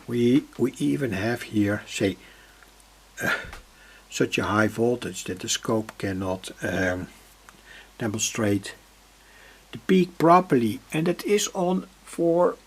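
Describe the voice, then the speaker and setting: Slow, husky man explaining at workbench. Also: mid-range voice, lively intonation. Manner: halting, searching for words, explanatory. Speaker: electronics hobbyist demonstrator. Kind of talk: unscripted workbench demonstration vlog. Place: indoor hobby workbench.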